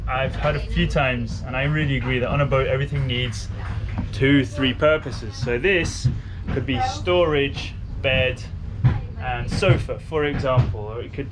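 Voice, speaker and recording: A man's voice: mid-range voice, a little slow, very noisy. A young man giving a casual walkthrough of the wooden boat he is restoring, filmed with a handheld camera. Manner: joking, explaining while walking around. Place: inside a wooden boat cabin under restoration. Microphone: handheld action camera at arm's length. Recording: background music under the voice.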